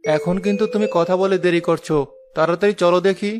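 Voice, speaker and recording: A man's voice: sits high, fairly even intonation, quick pace, natural voice. A man playing a kind, reassuring young imam in a cartoon. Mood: cheerful. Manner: caring, reassuring. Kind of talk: cartoon dialogue dub. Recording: music clearly behind the voice.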